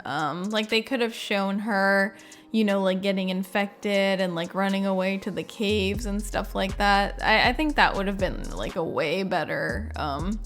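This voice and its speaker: A woman's voice: mid-range voice, fairly bright tone. A woman giving casual, informal reaction commentary as a gaming creator, thinking aloud in a hesitant way. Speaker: video game streamer and reviewer.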